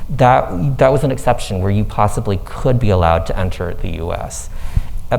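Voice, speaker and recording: A man's voice: fairly low pitch, darkish tone, rough recording. Earnest man giving a slide talk. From Thailand. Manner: earnest, measured, explanatory. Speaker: foundation executive director. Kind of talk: panel presentation with slides. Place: event room with live audience.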